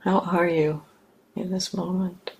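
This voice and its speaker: A woman leading a guided meditation as a teacher, speaking in short, measured phrases with pauses between them. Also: lowish voice, level tone, creaky, slight background noise.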